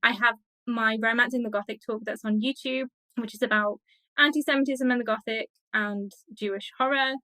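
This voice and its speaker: A woman with a fairly high, very bright voice, speaking as a guest in a casual interview. She is chatty and enthusiastic, and the sound is dry and close. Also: steady tone, fairly quick, no echo, low-quality recording. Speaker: interview guest, academic and podcaster. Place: indoor office on a video call.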